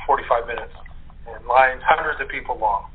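A middle-aged American man chatting casually as a guest, halting as he searches through his memories. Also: mid-range voice. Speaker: cast member guest. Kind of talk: casual chat, reminiscing. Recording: tinny noisy phone line.

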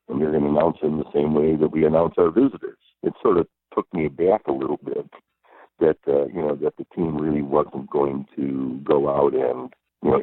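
A man with a strong, powerful voice, a former arena announcer, telling stories in a relaxed way during a phone interview. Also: extremely low pitch, breathy edge, no echo. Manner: unhurried storyteller, many fillers. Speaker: guest, former arena public address announcer. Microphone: telephone. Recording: phone line.

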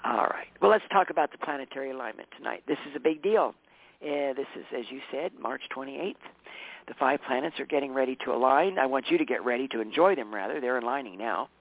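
A man with a tired, hoarse voice, a regular guest on a casual talk show, chatting with warmth, laughing often and correcting himself as he goes. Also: mid-range voice, fast. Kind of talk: casual radio show chat. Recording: dry, phone-line sound.

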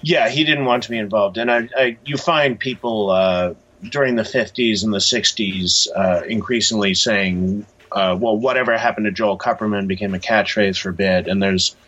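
A man with a fairly low, husky voice gives reflective interview answers. He picks his words hesitantly and thoughtfully, and the sound is a little dull and lo-fi. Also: fairly even intonation, normal speed, fairly bright tone. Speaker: interviewed author.

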